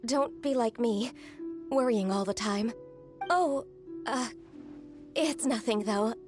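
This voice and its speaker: An actress voicing a gentle, anxious, dutiful young-sounding female secretary in a video game, polite, earnest and hesitant. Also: a little high, takes it slowly, music in the background. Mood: warm, flustered. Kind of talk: video game character acting.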